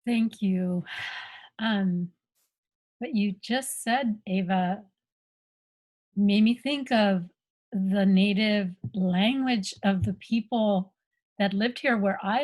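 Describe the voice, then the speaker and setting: A woman sharing on a video call in an unhurried, thoughtful way with pauses. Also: mid-range voice, a little mellow. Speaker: online summit participant. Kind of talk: reflective sharing in a group call.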